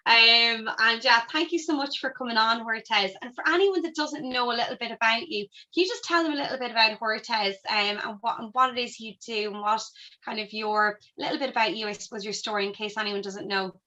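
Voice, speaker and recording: A woman's voice: on the high side, brisk, pushed and bright. A young woman hosting a podcast, enthusiastic and effusive as she introduces and questions her guest. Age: young adult. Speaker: podcast host. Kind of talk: podcast interview.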